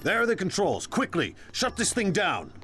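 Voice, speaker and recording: A man's voice: high-pitched, wildly swooping pitch, normal speed, over music. A man playing a ruthless, ambitious crime boss in a video game, speaking in a smug, imperious, commanding way. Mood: urgent. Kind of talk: cinematic video game acting.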